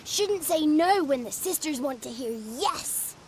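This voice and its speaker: A woman's voice: sits high, animated, unhurried, a little mellow, a little hiss or hum. A woman playing a cheeky, childlike male swamp creature in a video game, chatty and playful. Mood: mischievous storytelling. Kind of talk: video game character acting.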